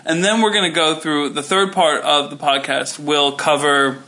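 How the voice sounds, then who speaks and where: Guy cohost, bright slightly high voice, rambles a lot. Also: fairly even intonation, normal speed. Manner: rambling, many fillers and restarts. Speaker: podcast co-host. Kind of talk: casual chat.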